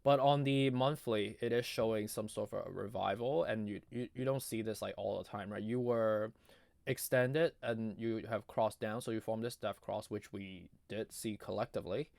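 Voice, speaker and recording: A man giving casual, off-the-cuff chart commentary in a halting, conversational way, his voice strained as if giving out. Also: on the low side, gravelly, darkish tone, dry recording. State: losing his voice, often clearing throat. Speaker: market analysis video host.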